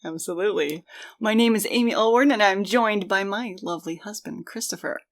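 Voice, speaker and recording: A woman's voice: mid-range voice, brisk. A woman co-hosting a conversational podcast, speaking in an earnest, reflective way. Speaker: podcast co-host.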